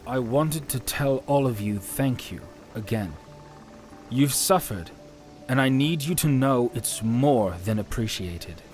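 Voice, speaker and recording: A man's voice: medium pitch, animated, takes it slowly, darkish tone. A man playing an earnest, caring commander in a fantasy audio drama, with music behind him. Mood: sincere, caring.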